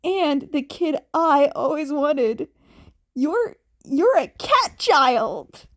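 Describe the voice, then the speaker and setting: A woman's voice: sits high, animated, drawn-out words, full character voice. A woman voicing an affectionate, upbeat, sincere man acting as a father figure in a fan fiction audiobook. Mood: sincere, fond.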